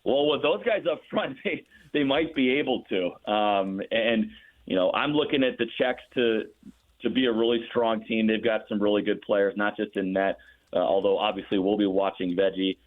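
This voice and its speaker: A man's voice: on the low side, moderate pace. A man talking sports analysis in an enthusiastic, conversational way, as a guest broadcaster. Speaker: radio play-by-play broadcaster as guest. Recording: narrow muffled phone-like line.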